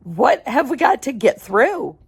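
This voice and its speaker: Woman putting on a shrill, panicky old grandpa. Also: very animated, unhurried, husky, heavily acted. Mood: alarmed. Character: frail excitable old grandfather.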